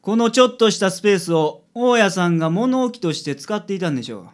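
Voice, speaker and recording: A man's voice: high voice, sing-song, fast talker. A man playing a confident, shrewd private detective in a radio drama, theatrical, with a Kansai accent. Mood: deducing. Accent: Kansai Japanese.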